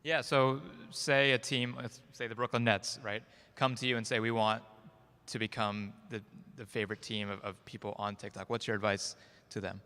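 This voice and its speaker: A male moderator asks long, searching questions in a low, bright and resonant voice. His voice carries through a large hall with a long reverb. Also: flat delivery, normal speed, clear, resonant tone. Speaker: panel moderator. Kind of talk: moderating a conference panel. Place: conference stage.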